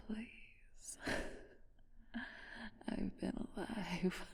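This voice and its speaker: A woman playing an ancient, flirtatious and haughty vampire thief in an ASMR audio roleplay, weakened by a wound and speaking in a breathy, husky whisper. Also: mid-range voice, darkish tone, exaggerated voice. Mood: coaxing, seductive. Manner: slow, teasing, haughty, with theatrical pauses.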